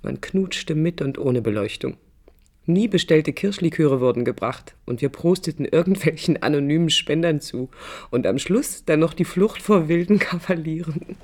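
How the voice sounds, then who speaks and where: A woman's voice: low-pitched, expressive, moderate pace, a little mellow. An actress playing a proud, idealistic young woman architect in a radio drama, articulate, combative and ironic. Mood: wry, reflective. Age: young adult. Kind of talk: radio drama acting with interior monologue. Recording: 1980s radio play with background noise.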